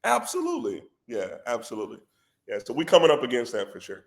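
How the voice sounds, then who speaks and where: A man with a head cold and a congested voice, a company president talking as a podcast guest in an animated, persuasive way. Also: medium pitch, fast talker, creaky. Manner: animated and persuasive, says "bro" a lot. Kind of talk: casual podcast conversation.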